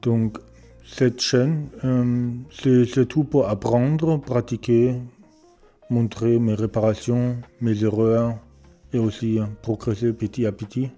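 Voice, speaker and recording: German man, non-native French with German accent, halting delivery, slow, low dark voice, home workshop setting. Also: steady tone, faint music behind. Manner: hesitant, searching for words with many fillers. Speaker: electronics repair beginner presenting his channel. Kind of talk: casual self-introduction and workshop tour.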